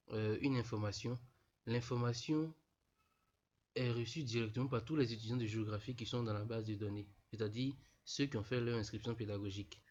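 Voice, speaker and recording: A man's voice: medium pitch, a little mellow. A young man with a cold, walking students through an online registration step by step, repeating himself often. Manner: repetitive, peppered with "voilà", addresses students informally. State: stuffy from a cold. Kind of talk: casual explanatory screen tutorial. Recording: dull lo-fi voice-over.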